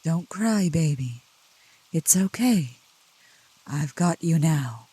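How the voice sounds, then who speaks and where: A woman playing a seductive, protective demon in a soft ASMR roleplay, speaking slowly and coaxingly in a low, gentle voice. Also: expressive, husky, dry recording, full character voice, rough recording. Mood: tender, coaxing. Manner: slow, deliberate, coaxing, with pet names. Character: seductive, protective female demon, soothing yet scornful of cultists. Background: rain.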